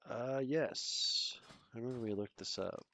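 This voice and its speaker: A man's voice: medium pitch, a little slow, pushed and bright. A man with a Toronto Canadian accent, chatting in a laid-back way as he streams a game and answers his chat. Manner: laid-back, chatty, trails off mid-sentence. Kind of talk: casual chat while gaming, reading chat.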